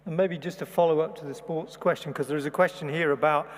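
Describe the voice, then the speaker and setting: A man's voice: on the high side, fairly even intonation, fast talker. A man who lectures, chairing a student panel and putting questions to it without a script. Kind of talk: unscripted moderating, reading out questions. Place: large echoing hall. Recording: reverberant hall, faint background ambience.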